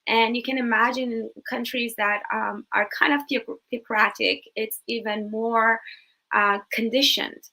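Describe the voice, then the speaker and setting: A woman's voice: on the high side, steady tone, measured pace, vocal fry, pushed and bright, low-quality recording. A woman from Iran telling her personal story on a webinar panel, earnest and hesitant with frequent fillers.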